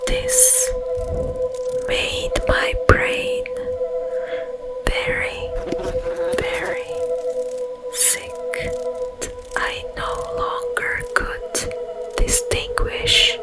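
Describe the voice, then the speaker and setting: A woman voicing an eerie sleep paralysis demon who was once a tormented monk, telling a story in a breathy ASMR whisper, in short hushed phrases. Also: extremely high pitch, completely flat delivery, drawn-out words, pauses often, over loud music, full character voice. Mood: troubled, eerie. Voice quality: breathy, mostly unvoiced whisper. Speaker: ASMR performer.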